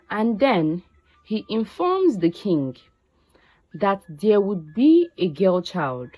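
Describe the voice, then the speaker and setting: A woman narrating a scripted folktale in a measured, deliberate way, phrase by phrase. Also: medium pitch, darkish tone, husky, low-quality recording. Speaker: storyteller.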